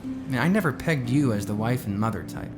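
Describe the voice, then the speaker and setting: A man's voice: mid-range voice, expressive, fairly quick, music in the background, lightly acted. A man playing a confident, glib billionaire space colony founder in a naturalistic audio drama, talking in a casual, assured, persuasive way. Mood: casual probing. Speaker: voice actor in a musical audio drama.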